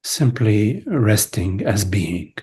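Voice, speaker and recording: A man leading a guided meditation as a teacher, speaking gently in short phrases with long pauses. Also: lowish voice, lively intonation, breathy edge. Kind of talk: guided meditation, spiritual talk.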